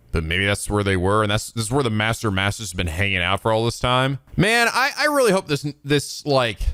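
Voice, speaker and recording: A man's voice: mid-range voice, bright, forceful tone, smooth, dry recording. A man hosting a casual reaction commentary on game cutscenes, rambling, sweary and enthusiastic, close on the microphone. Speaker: let's play host reacting to cutscenes.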